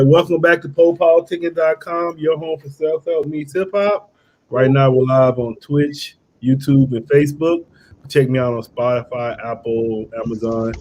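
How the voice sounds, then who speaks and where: A man's voice: a little high, darkish tone, slight background noise, low-quality recording. A man hosting a live-streamed podcast, upbeat and running through promotional patter. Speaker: podcast host.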